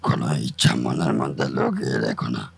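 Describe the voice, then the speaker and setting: A man voicing a mysterious, shrouded forest stranger in a cartoon, speaking slowly and cryptically in a gravelly, husky voice. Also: a bass voice, steady tone, exaggerated voice. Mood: eerie, slow. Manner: slow, drawn-out, cryptic. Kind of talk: cartoon voice acting.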